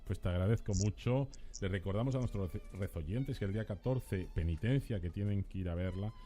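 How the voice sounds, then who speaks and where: A man hosting a radio interview, speaking in a relaxed way with fillers. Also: fairly low pitch, a little fast, faint music behind. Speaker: radio host.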